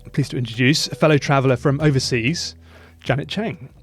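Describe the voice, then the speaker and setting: A man's voice: medium pitch, a little fast, faint music behind. A middle-aged man hosting an interview podcast, talking in a friendly, loose way with many fillers. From England. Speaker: podcast host, mortgage broker.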